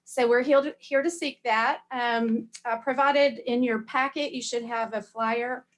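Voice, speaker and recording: A woman speaking as a community representative at a local government meeting, making a semi-formal request with hesitant pauses. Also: a little high, fairly even intonation. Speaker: community group representative addressing a county meeting. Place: county meeting room.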